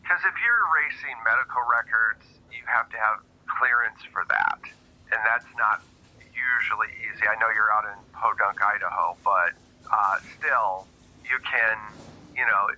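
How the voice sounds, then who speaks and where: A man playing a blunt, matter-of-fact agency boss in an improvised tabletop game, speaking informally and haltingly. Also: medium pitch, bright, forceful tone, heavily acted, poor audio. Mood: musing, suspicious.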